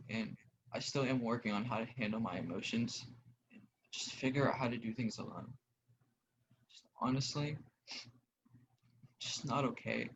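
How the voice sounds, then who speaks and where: Young actor as grieving, lonely gamer, hesitant, mid-pitched, dull and noisy student play recording. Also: male voice, close to an everyday voice. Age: young adult. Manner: hesitant, earnest. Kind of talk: student one-act play acting. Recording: dull audio with clear noise.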